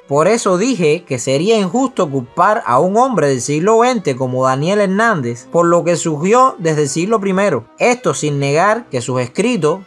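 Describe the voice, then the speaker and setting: Earnest man explaining church doctrine. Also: a little high. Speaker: podcast co-host. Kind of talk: religious discussion podcast.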